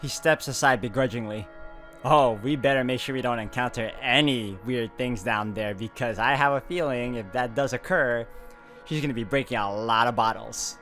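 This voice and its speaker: Male playthrough host: reads visual novel narration dramatically, chats casually between passages, clear game music behind him. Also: mid-range voice, moderate pace, fairly bright tone.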